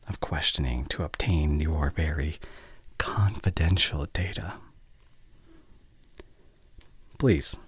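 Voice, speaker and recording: A man acts out an ancient male vampire, courtly and possessive, in an ASMR roleplay. The voice is extremely deep and very breathy, often sinking into a whisper. He speaks slowly and formally with small hesitations, right up against a dry, close microphone. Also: a little mellow, no echo, heavily acted. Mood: secretive, awkward. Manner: formal, old-fashioned, hesitant phrasing. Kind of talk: intimate ASMR roleplay monologue. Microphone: close studio condenser mic.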